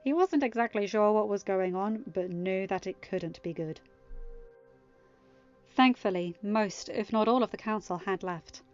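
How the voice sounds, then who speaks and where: A woman's voice: mid-range voice, a little slow. A woman reading a story aloud as narrator and host, sometimes chatting casually to her listeners. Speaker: podfic reader and host. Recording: slightly muffled, dry, music underneath. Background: quiet music.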